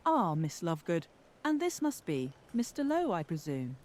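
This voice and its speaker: An actress playing a stern, formal teacher of magic in an audio drama, brisk and commanding. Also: female voice, on the low side, big pitch swings, darkish tone.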